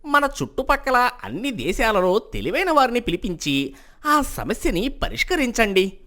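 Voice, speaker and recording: A woman's voice: a little high, big pitch swings, moderate pace, a bit bright. A woman voicing a wise, deferential male royal minister in a cartoon moral story, speaking politely and emphatically. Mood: respectful. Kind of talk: animated moral story dialogue.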